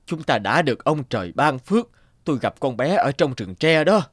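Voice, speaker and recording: Gentle old father, expressive delivery. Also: medium pitch, normal speed, dry recording, clean studio sound. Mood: amazed, happy. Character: gentle old bamboo cutter, loving adoptive father. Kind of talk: Vietnamese children's cartoon dubbing.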